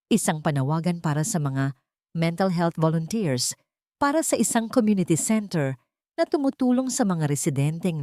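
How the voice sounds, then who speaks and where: A woman's voice: fairly low pitch, a little mellow, no echo, studio quality. A woman reading a scripted, dramatic love story as its narrator.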